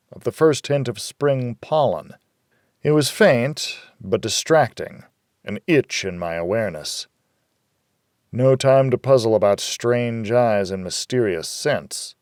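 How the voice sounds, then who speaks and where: A man's voice: mid-range voice, a little mellow, no room sound, clean studio sound. A man narrating a first-person noir thriller audiobook in a wry, hardboiled storytelling manner.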